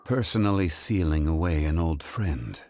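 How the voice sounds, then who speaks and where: Ancient god, deep and solemn. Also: male voice, expressive, darkish tone, slight background noise. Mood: solemn, weary. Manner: measured, formal, grave delivery. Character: calm, ancient male god, solemn and weary. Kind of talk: video game voice acting.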